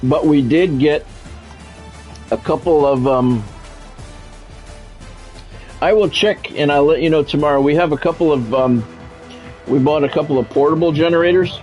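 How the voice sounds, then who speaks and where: A man's voice: a little high, normal speed, pauses often, a little mellow, muffled recording, faint music behind. A middle-aged man doing live football game commentary mixed with casual chat, talking in a blunt, profane, conversational way. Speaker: game streamer and play-by-play commentator.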